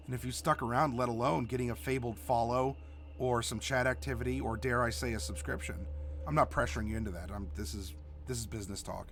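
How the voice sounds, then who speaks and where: A man with a cold, stuffy and a little hoarse, hosting a live stream in a rambling, casual way with many restarts. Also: mid-range voice, fairly even intonation, fairly quick, slight background noise. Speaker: stream host.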